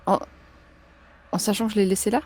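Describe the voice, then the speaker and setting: A woman's voice: medium pitch, fairly even intonation, brisk, darkish tone. Hesitant woman playing a tabletop roleplaying game. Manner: hesitant, thinking aloud. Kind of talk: improvised in-character roleplay and casual table talk.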